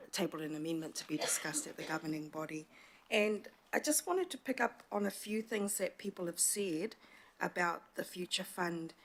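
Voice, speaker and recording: A middle-aged woman from Samoa, whose first language is Samoan, speaking in a formal council debate into a desk microphone, measured and reaching for proverbs. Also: low-pitched. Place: large council chamber indoors.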